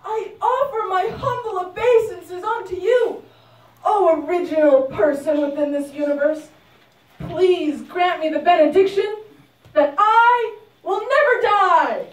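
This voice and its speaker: A woman's voice: very high voice, a little slow. A woman voicing an imperious demon king in a puppet show, pleading formally in a declamatory way. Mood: fervent pleading. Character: demon king begging a god for immortality, demanding, imperious. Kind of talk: puppet show storytelling, theatrical. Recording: roomy echo, distant microphone.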